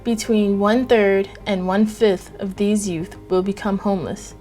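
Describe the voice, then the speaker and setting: A woman reading scripted documentary narration in an even, informative way. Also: medium pitch, normal speed, soft background music.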